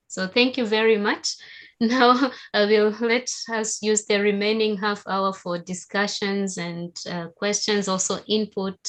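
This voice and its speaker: A woman from Tanzania, with Haika as her first language, giving a steady, explanatory webinar presentation over a video call. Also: medium pitch. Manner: steady, explanatory, with occasional fillers.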